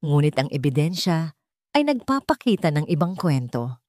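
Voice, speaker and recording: A woman voicing a calm, authoritative female judge in an audio story, measured, formal and firm. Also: low voice, no room sound, studio quality. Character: calm, authoritative female family-court judge delivering a verdict.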